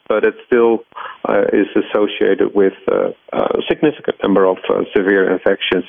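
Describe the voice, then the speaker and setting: Middle-aged male scientist, Dutch accent, gravelly low voice, halting explanatory interview delivery. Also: no echo, phone-quality sound. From Netherlands. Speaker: research scientist and laboratory head, interviewee.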